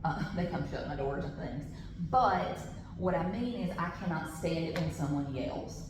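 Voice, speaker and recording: A woman with a loud voice leading a classroom training, chatty, with personal asides and frequent restarts. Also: low-pitched, expressive, normal speed. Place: large training room with tables. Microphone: camera on the table. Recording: echoey room, background noise.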